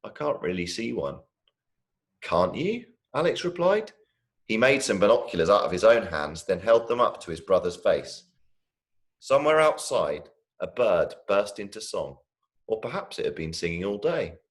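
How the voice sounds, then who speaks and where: A man reading poems and stories for children, expressively and deliberately, as a primary school storyteller. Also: fairly low pitch, sing-song.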